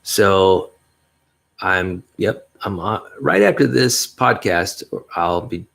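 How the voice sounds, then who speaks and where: A man hosting a solo podcast, talking in a mellow bass voice, upbeat and rambling, with frequent chuckles. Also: animated, slow, vocal fry. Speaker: podcast host. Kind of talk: casual solo podcast monologue.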